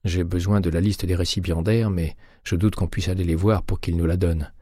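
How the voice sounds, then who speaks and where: Guy reading as a terse wary detective man in an audiobook, low and raspy, clipped. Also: fairly even intonation, brisk, dark tone, no room sound, clean studio sound. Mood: pensive. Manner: muttering, clipped.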